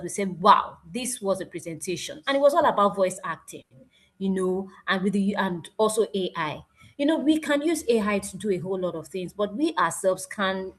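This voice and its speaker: A woman with a Nigerian accent presenting informally at an online event, emphatic and animated, with exclamations and repeated phrases. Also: mid-range voice.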